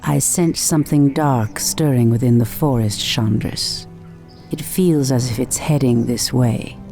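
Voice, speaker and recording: Night elf priestess, low voice, commanding, solemn, formal, fantasy game drama, clear music behind. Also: female voice, unhurried, warm tone. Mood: wary.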